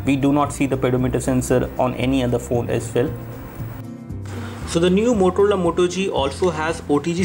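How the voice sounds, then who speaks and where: A man giving an explanatory hands-on gadget review, repeating phrases as he walks through features. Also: on the high side. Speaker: tech reviewer.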